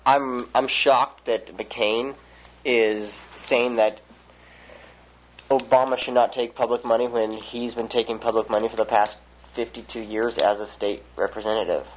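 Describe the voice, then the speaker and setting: A young man playing a news anchor in an amateur mock newscast, with a mock-serious, deadpan delivery. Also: medium pitch, unhurried, a little hiss or hum, rough recording.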